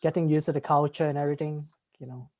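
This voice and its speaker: A man's voice: a little high, steady tone, fast talker, darkish tone. Easygoing young Singaporean man. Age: young adult. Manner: easygoing, fills with "sort of" and "like". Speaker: guest, student. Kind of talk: casual interview chat.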